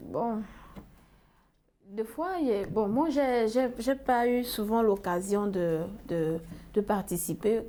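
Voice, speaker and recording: A young woman speaking as a panel guest, halting and searching for words. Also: mid-range voice, mellow, a little hiss or hum. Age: young adult. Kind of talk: panel discussion.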